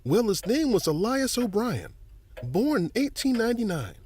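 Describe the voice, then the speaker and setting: Avuncular small-town museum volunteer, high-pitched, very lively delivery, chatty explainer. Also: male voice, normal speed, a little hiss or hum. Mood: intrigued, chatty. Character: friendly, folksy older male museum volunteer and newspaper editor. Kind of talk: family audio drama acting.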